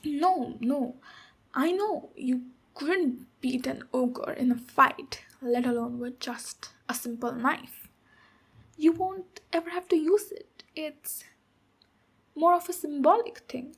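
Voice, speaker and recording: A woman voicing a tough but protective ogre warrior in a soft-spoken, reassuring ASMR roleplay, with pauses. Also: high voice. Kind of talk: ASMR roleplay, speaking to the listener.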